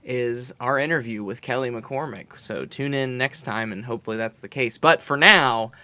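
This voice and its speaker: A man's voice: medium pitch, normal speed, sharp tone. A male podcast co-host in casual chat, laid-back and laughing easily.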